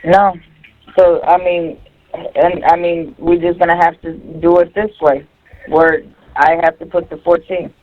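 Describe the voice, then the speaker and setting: A woman's voice: lowish voice, level tone, measured pace, narrow, tinny audio. A woman in a casual phone conversation, explaining and instructing step by step over a recorded jail line. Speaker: caller on a jail phone call. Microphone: telephone.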